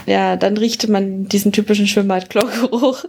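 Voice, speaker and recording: A woman's voice: mid-range voice, fairly even intonation, normal speed, vocal fry, a little mellow, breathy edge. A woman, a biologist co-hosting a podcast, talking in a rambling way with many fillers and dry humor. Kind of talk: casual science chat.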